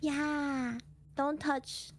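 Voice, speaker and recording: A woman's voice: high voice, little pitch movement, drawn-out words. A woman chatting casually as a streamer, telling stories in a cutesy, playful way with Japanese words sprinkled in. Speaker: VTuber streamer. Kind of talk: casual storytelling chat.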